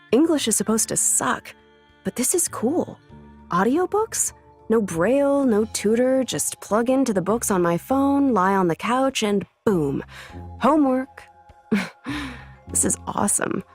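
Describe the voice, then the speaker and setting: A wry, stubborn American teenage girl in an intimate audio drama. Her voice is husky and breathy, casual and blunt, with faint music behind. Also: on the high side, lively intonation, measured pace. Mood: pleased, amused. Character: wry, stubborn, self-reflective teenage girl, recently blinded. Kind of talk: intimate, naturalistic audio drama monologue and dialogue.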